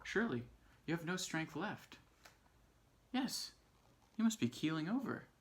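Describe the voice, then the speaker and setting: An anxious little storybook ant, put on by a man reading aloud. The voice lifts well above an everyday male pitch and exclaims with urgent concern and astonishment. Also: big pitch swings, measured pace. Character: anxious, astonished fellow ants calling up to a friend.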